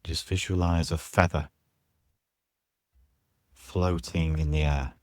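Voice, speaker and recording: Very deep, slow male meditation guide. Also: fairly even intonation, darkish tone, no echo, clean studio sound. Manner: soothing, unhurried, pausing between short phrases. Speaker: yoga nidra teacher guiding a meditation.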